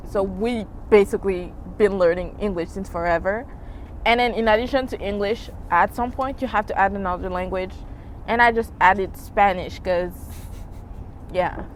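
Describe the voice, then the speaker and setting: A woman's voice: mid-range voice, normal speed, poor audio. A young woman from Senegal, Wolof her first language, chats easily and loosely, with fillers, on a podcast recorded outdoors. Faint traffic and city noise hum behind her voice. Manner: chatty, filler-heavy, laughing. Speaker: guest. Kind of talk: casual chat.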